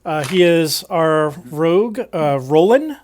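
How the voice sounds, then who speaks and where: A young man serving as dungeon master, with a voice that sits a little high. He speaks from a home microphone on a video call and introduces players in a loose, halting way, filling gaps with "uh". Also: animated, a little mellow, slight background noise. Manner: hesitant, many "uh" fillers. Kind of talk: casual table talk, introducing players.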